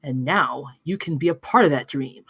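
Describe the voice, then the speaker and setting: A woman plays a seventies promo film announcer in a table read. Her voice sits a little low, polished and inviting, with a close, dry sound. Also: normal speed, fairly bright tone, slightly rough, no echo, heavily acted.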